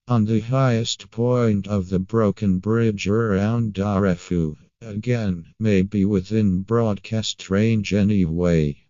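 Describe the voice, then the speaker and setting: A man reading a scripted creepypasta narration in a measured, matter-of-fact way. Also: fairly low pitch, a little mellow, no room sound, dull audio.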